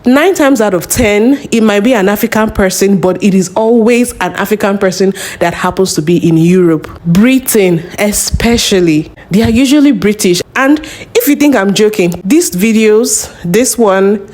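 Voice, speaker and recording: A woman hosting a commentary vlog, talking to her viewers directly in a deliberate way. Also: medium pitch, darkish tone. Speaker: channel host.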